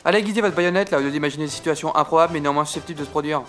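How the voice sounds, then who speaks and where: A man's voice: fairly high pitch, racing, a bit bright, faint music behind, lightly acted. A man acting an irascible, self-important French army captain in a comic audio drama, sarcastic, bossy and coarse. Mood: exasperated.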